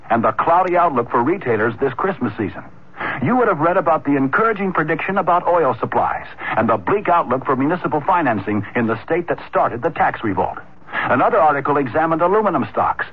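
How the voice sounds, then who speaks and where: A man's voice: fairly high pitch, slightly rough. An American man reading a scripted 1970s radio commercial as an announcer. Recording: muffled vintage radio broadcast.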